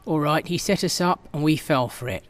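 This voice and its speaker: A man's voice: a little high, brisk, close to an everyday voice. A man acting a ruthless intelligence chief in a radio drama, clipped and commanding. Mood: defensive. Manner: clipped, authoritative.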